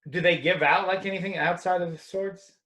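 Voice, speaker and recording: A man from Russia hosting a casual interview over a video call, relaxed and full of fillers. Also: high-pitched, fairly quick. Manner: relaxed, many "like" fillers, laughs easily. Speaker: podcast host.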